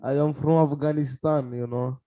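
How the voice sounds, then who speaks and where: A man's voice: mid-range voice, fairly even intonation, normal speed, warm tone. A man interrupting a video call, pleading in broken phrases. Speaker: intruder on the call.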